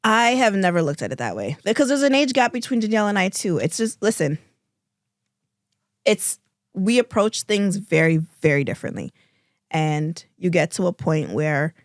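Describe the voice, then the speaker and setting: A woman's voice: lowish voice, expressive, normal speed, no echo, clean studio sound. A woman in her thirties co-hosting a casual podcast, congested and hesitant, with many restarts and fillers. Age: 30s. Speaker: podcast co-host. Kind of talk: casual chat.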